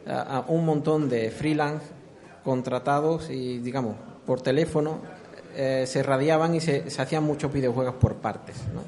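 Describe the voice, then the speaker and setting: A man's voice: medium pitch, darkish tone. Spanish man recounting as an interviewee. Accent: Spanish from Spain. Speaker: interviewee, former video game programmer. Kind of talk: conversational anecdotal recounting.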